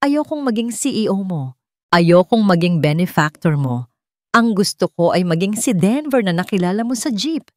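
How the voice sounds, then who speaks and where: A woman's voice: mid-range voice, expressive, moderate pace, no room sound, clean studio sound, lightly acted. A woman voicing an earnest, devoted young businessman making sincere, solemn declarations in a sentimental audio love story. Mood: tender, earnest.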